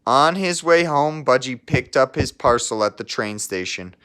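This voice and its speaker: A man reading a children's storybook aloud like a teacher, in measured narration with casual asides. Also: medium pitch, expressive, fairly bright tone, clear, resonant tone.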